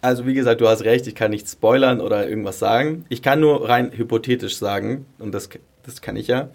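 Young man with a creaky voice. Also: mid-range voice, brisk, darkish tone. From Greece and southern Germany. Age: early 20s. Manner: candid, youthful colloquial phrasing, emphatic repetition. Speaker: podcast guest, singer and song contest contestant. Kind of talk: casual interview chat.

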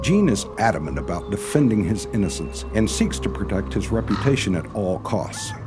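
A man narrating a radio play as its announcer, reading scripted storytelling narration. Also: fairly low pitch. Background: music.

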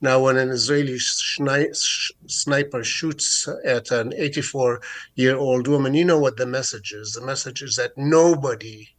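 A mature man with a Palestinian Arabic accent, giving an unscripted account in a radio interview in a halting, deliberate way with many hesitations. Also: medium pitch, fairly even intonation, fairly bright tone. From Jerusalem, Palestine. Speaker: interview guest, advocate.

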